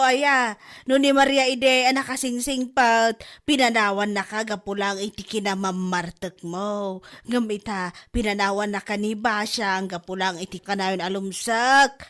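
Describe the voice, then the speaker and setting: A woman acting a scolding mother in a comedy drama who talks in loud nagging exclamations. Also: a little high, fairly even intonation, a little slow. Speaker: Nana, a mother.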